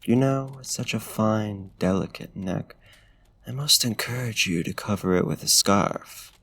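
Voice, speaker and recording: Courtly, seductive male vampire played by a man for ASMR roleplay: low register, unhurried, genteel and literary. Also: a bit bright, no room sound, heavily acted. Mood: teasing, courteous. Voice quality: smooth, deep. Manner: formal, genteel, theatrical phrasing. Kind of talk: ASMR roleplay, intimate talk and poetry reading.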